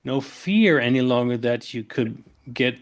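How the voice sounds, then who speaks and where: A man's voice: medium pitch, animated, measured pace. Hesitant man searching for words. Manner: hesitant, many fillers, searching for English words. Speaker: podcast host. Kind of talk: casual conversational interview.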